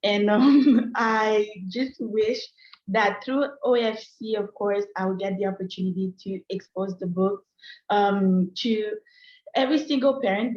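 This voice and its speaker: A woman whose first language is French, giving an earnest, hesitant, informal pitch as a guest author at an online meeting. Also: darkish tone. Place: online video call. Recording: roomy, slightly dull video-call audio.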